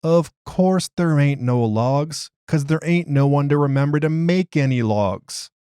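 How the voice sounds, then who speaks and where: A man's voice: on the high side, a little slow, mellow, clear, resonant tone, no echo, clean studio sound, natural voice. A man voicing an agitated, streetwise prisoner who argues his case in slangy, ungrammatical, exasperated speech. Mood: agitated, insistent. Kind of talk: dramatized interview log.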